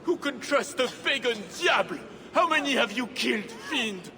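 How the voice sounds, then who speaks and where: Angry medieval zealot for a game: extremely high pitch, accusatory, loud, with noisy ambience behind. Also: male voice, animated, normal speed. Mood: outraged. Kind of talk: dramatic video game acting.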